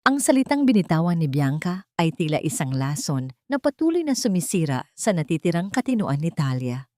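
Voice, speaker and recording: A Filipino woman narrates a dramatic audiobook story. Her voice sits fairly low, and the recording is dry and close, with little room sound. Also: big pitch swings, a little fast, darkish tone, dry recording.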